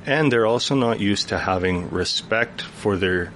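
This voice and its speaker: Deep-voiced man, earnest. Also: a little slow, a bit bright, a little hiss or hum. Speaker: youth worker guest. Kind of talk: radio interview conversation. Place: radio studio.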